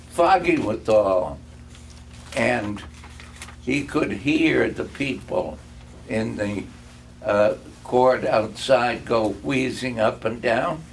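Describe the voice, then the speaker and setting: An older man reading a classic story aloud in a deliberate way, leaning dramatically on words. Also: medium pitch, creaky. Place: live reading in a room. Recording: faint background noise.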